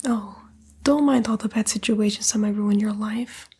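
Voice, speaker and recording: A woman voicing a smug, seductive female demon, a fallen angel, in a breathy, coaxing ASMR roleplay whisper. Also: medium pitch, darkish tone, slight background noise, natural voice. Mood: coaxing, scornful. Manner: slow, coaxing, taunting, drawn-out whisper.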